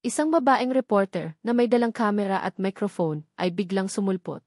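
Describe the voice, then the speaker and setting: A woman's voice: mid-range voice, fairly quick, a little mellow, no room sound, studio quality. A woman reading a scripted, dramatic love story as its narrator.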